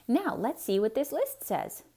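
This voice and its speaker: A woman acts out a cheerful, naive housemaid from a storybook. Her pitch is raised and swoops widely, and she draws out her words with folksy, self-assured wonder. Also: sing-song, a little slow, dark tone, heavily acted. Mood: puzzled, agreeable.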